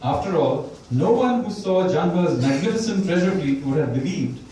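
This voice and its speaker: A man, an author at a bookstore event, talks about his book and reads a passage from it, pausing often and restarting his sentences. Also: fairly high pitch, animated, warm tone, slight background noise. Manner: thoughtful, with pauses and restarts. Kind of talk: talk and reading from his book. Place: bookstore event room, indoors. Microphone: distant mic or none. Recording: very echoey room, voice from far off.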